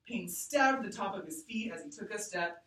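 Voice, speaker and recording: A woman teacher reading aloud to a class and explaining deliberately and expressively, thinking out loud. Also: mid-range voice, lively intonation. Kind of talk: read-aloud lesson to a class. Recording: echoey room, distant mic.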